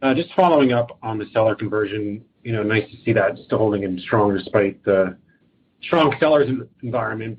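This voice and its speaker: A man speaking as a financial analyst, asking questions on an earnings call. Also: fairly low pitch. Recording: tinny phone line, faint noise.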